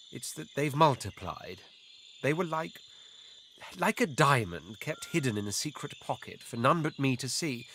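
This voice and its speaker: A man playing a proud, eloquent imperial adventurer in a video game, speaking in ornate, measured, theatrical phrases with deliberate pauses. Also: medium pitch, fairly bright tone, slight background noise, exaggerated voice. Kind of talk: dramatic video game character acting.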